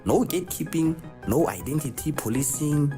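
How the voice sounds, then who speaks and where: A woman narrates a video in short, slangy bursts. Her voice sits very low. Music plays clearly behind her. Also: animated, moderate pace. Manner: slangy, punchy storytelling. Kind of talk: scripted narration.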